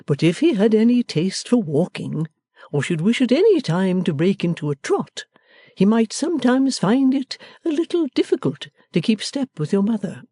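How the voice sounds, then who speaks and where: A woman reading the part of a timid, fond little father who speaks gently and hesitantly, rambling at times. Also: mid-range voice, big pitch swings, moderate pace, no room sound, clean studio sound, pitched above the performer's own voice. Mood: musing.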